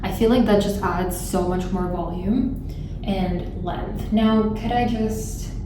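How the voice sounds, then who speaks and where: A young woman from Thailand chats casually through her makeup routine, dropping "like" into nearly every sentence. Her voice sits at an ordinary pitch and is picked up from a distance. A boomy room echo and a steady background hiss surround her. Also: slow. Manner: chatty, frequent "like" fillers. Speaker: beauty vlogger. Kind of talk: casual get-ready-with-me chat. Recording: roomy echo, distant mic, background noise.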